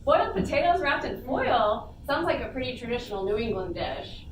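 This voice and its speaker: A woman's voice: a little high, lively intonation, brisk. A woman playfully reading her own humorous story aloud in a casual, amused manner. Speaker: panelist and writer. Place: convention panel room before a small audience. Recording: echoey room, distant mic, noisy.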